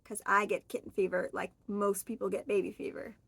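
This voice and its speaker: A woman's voice: mid-range voice, little pitch movement, a little fast, creaky, a bit bright, breathy edge, no echo. A woman in her forties from Oregon, chatting on her own to camera in a rambling, friendly way. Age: 40s. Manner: chatty, rambling, friendly, with fillers and self-corrections. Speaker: vlogger. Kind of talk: casual solo chat to camera.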